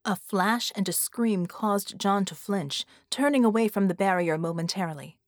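A woman's voice: medium pitch, normal speed, dry recording, studio quality. A woman reading an action story as an audiobook narrator, in a dramatic scripted style.

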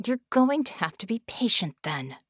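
A woman's voice: a little high, normal speed, a little mellow, no room sound, studio quality, voice pushed up, natural voice. A woman voicing a tough, self-assured woman fighter who is injured and talks firmly through clenched teeth. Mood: strained urgency.

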